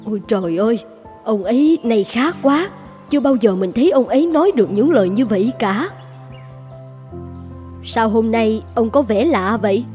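A woman playing a higher-voiced long-suffering cartoon wife. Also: lively intonation, normal speed, pauses often, warm tone, soft background music, natural voice. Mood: surprised. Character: long-suffering older wife of a grumpy husband. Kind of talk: animated folk tale voice acting.

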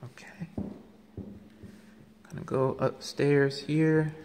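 A man gives a casual walking tour of an empty house as a realtor, talking haltingly with many ums and uhs, filmed on a handheld phone. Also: low voice. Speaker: realtor giving a home tour. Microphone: handheld phone camera. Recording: echoey empty rooms.

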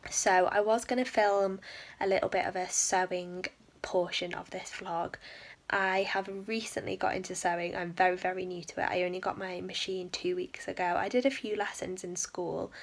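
A young woman chatting casually to her phone camera in her bedroom, often keeping her voice hushed. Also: lowish voice, flat delivery, normal speed. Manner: soft chatty, often hushed to a near whisper. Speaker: vlogger. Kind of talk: casual vlog chat. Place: bedroom, indoors. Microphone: phone held at arm's length.

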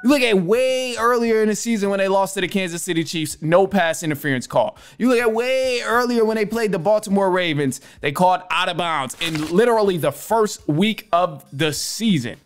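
Emphatic high-voiced young man podcasting. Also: lively intonation, normal speed, few pauses, soft background music. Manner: emphatic, repetitive, stammering restarts. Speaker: podcast host. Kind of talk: casual sports commentary. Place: home studio room. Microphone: studio mic with headphones.

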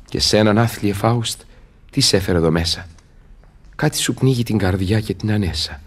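A tormented scholar in love in a radio play. His voice is very low and husky, and he declaims verse with solemn passion. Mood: anguished. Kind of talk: theatrical radio drama verse acting.

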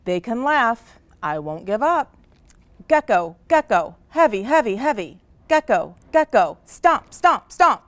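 A woman's voice: on the high side, sing-song, slow, dry recording. A woman voicing a tiny, determined, stubborn gecko in a children's story, eager and emphatic.

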